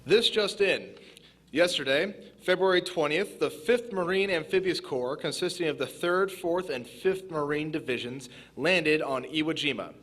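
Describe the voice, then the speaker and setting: A man's voice: a little high, moderate pace, fairly bright tone. A man acting as a grave 1940s wartime radio newsreader with a formal, clipped delivery. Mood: serious, formal. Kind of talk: 1940s news bulletin.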